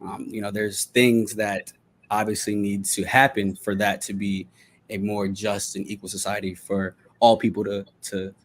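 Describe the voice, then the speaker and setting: A man with an American accent and a fairly low voice talks as a guest in an interview. He explains his views earnestly and conversationally, like a journalist walking someone through what he has learned. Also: little pitch movement, normal speed. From Delaware, United States.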